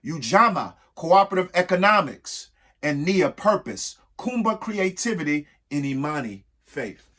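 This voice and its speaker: Husky man reading aloud with lively, swooping pitch. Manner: emphatic, pausing between short phrases. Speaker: reader of a children's picture book. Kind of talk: expressive read-aloud of a rhyming alphabet book with spoken asides.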